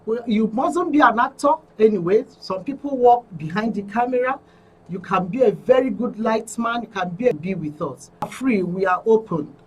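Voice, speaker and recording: A woman's voice: fairly high pitch, animated, moderate pace, husky. A Nigerian woman speaking informally as a guest in a studio, addressing the public. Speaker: studio guest, guild election candidate. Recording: slightly distant microphone.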